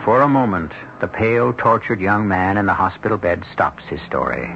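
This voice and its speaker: A man narrating a 1970s radio drama as host, grave and deliberate. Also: deep voice, creaky. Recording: dull old radio broadcast, loud music.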